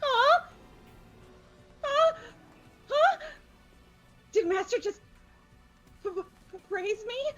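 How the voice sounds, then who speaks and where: A woman's voice: very high-pitched, animated, drawn-out words, long pauses, fairly bright tone, muffled recording, faint music behind, full character voice. A woman voicing a nervous, fawning teenage girl with a stutter in a game playthrough. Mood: overwhelmed, dazed. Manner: fawning, defensive, jittery. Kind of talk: voiced visual novel game playthrough.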